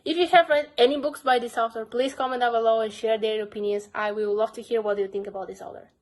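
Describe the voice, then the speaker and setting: A woman's voice: fairly high pitch, fairly even intonation, fast talker. A young woman with a Bulgarian accent, earnestly reviewing her crime book collection in a casual vlog. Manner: earnest, simple phrasing with frequent "basically". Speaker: book vlogger.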